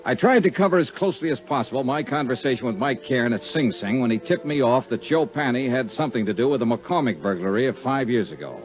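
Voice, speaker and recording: A man's voice: medium pitch, animated, quick pace, hardly any pauses, lightly acted. An actor playing a tough, dry male insurance investigator in a 1950s radio detective drama, both narrating and in dialogue. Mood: matter-of-fact narration. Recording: dull 1950s radio recording, music behind.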